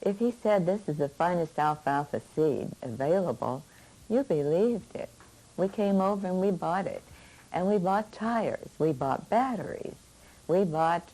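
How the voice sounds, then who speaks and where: Mellow, low-pitched older woman. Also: rough recording. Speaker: documentary interviewee. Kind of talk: conversational reminiscence.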